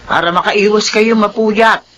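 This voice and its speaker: A man's voice: sits high, steady tone, unhurried, sharp tone. A man playing a concerned elderly grandparent in a radio drama. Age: older. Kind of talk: Filipino radio drama acting.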